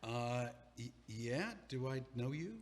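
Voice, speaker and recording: Casting: a man as a frazzled, stammering neighbor, mid pitch, long theater reverb. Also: slow pace, lightly acted. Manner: rambling, stammering, exasperated. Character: flustered young man, rambling and frazzled. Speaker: playwright reading stage directions and acting. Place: performing arts theater.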